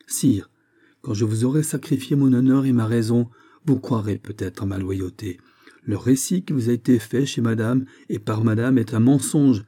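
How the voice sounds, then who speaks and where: A man's voice: mid-range voice, normal speed, mellow, dry recording, clean studio sound, natural voice. A man voicing a desperate young maiden of the royal court in an audiobook, pleading passionately with breathless exclamations.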